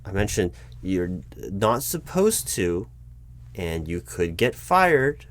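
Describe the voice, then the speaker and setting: A man playing a job interviewer in an ASMR roleplay, patient but baffled, with a dry, halting delivery full of restarts. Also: low-pitched, very animated. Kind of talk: ASMR roleplay, spoken aloud, not whispered.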